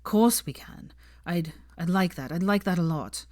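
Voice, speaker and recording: Woman doing a young man, easygoing, slangy, low and dry. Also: unhurried, warm tone, no echo, close to an everyday voice. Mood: remorseful. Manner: casual, slangy. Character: young adult man, an easygoing old friend.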